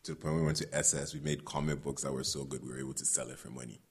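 Deep-voiced young Ghanaian man telling his story. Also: flat delivery, slightly rough. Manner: storytelling, laughs often, drawn-out phrases. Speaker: filmmaker guest. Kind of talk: casual conversation.

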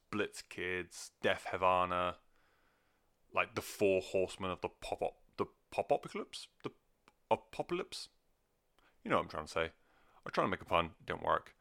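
A man's voice: sits low, fairly bright tone, smooth. A man talking casually and off the cuff as a music reviewer, rambling, sweary and self-deprecating. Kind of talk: casual unscripted review chat.

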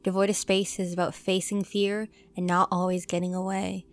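A woman reading scripted narration for a horror audio drama trailer in a measured, dramatic delivery. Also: medium pitch, little pitch movement. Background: music.

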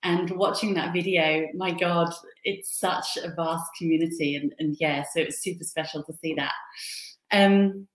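A woman's voice: on the low side, steady tone, normal speed. A woman from Switzerland, a guest on an interview, telling her own recovery story in an open, reflective way. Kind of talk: candid personal recovery story. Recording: roomy echo.

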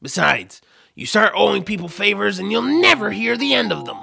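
A man's voice: high voice, big pitch swings, normal speed, creaky, heavily acted. A man voicing a grumpy, reclusive troll with an exasperated, grumbling manner in a family audio drama. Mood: grudging. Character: grumpy, reclusive male troll, food-obsessed reluctant hero.

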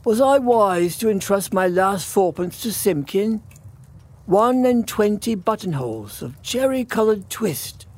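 A man reading a storybook puts on a poor old tailor, pitched up and full of woe. He mutters and laments, drawing out his anxious pleas with a lively, swooping delivery. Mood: fretful mumbling. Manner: mournful muttering, drawn-out pleading.

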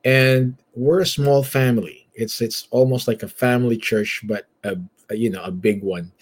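A man from the Philippines with a Filipino accent and a husky voice, telling his story conversationally as an interview guest. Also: mid-range voice, steady tone, moderate pace. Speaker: church elder and guest.

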